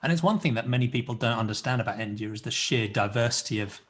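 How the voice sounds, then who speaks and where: Podcast host asking long questions. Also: male voice, mid-range voice, fast, fairly bright tone, a little hiss or hum. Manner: encouraging, rephrases questions at length. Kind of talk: interview questions.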